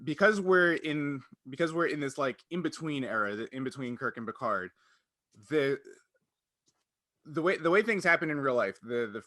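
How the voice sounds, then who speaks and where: A man's voice: mid-range voice, bright, forceful tone, no echo, studio quality. A man hosting a podcast, explaining things casually and acting out quotes as he goes. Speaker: podcast host and game master.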